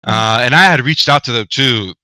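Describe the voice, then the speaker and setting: A man's voice: medium pitch, normal speed, no echo. A man whose first language is Spanish, answering interview questions earnestly and often tagging his points with "right?". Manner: earnest, animated, frequent "right?" tags. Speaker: guest author. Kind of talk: podcast interview.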